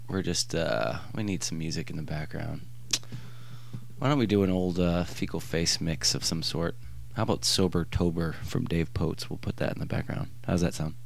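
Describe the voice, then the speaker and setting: A man's voice: sits low, vocal fry, a little hiss or hum. A man hosting a casual interview, rambling and joking with many fillers. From Ohio, USA. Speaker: podcast host.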